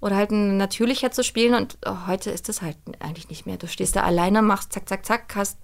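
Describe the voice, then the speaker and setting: A woman's voice: medium pitch, steady tone, fairly quick, gravelly. A woman speaking casually and colloquially as a guest in an interview, explaining her craft as a voice actress and director.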